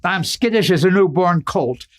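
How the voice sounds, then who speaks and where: A man's voice: high-pitched, lively intonation, moderate pace. A man playing a worried, hot-tempered rancher with a rustic cowboy drawl in a Western radio drama.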